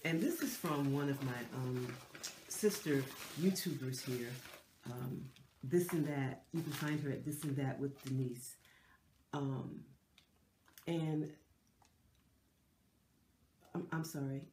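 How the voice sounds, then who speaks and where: Middle-aged lady vlogging at home, stuffy nasal voice, mellow tone, echoey room. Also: a bass voice, takes it slowly, pauses often, slight background noise. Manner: chatty, warm, with many fillers and restarts. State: stuffy from a cold. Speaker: lifestyle channel host. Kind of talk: casual chat to camera. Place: decorated living room indoors.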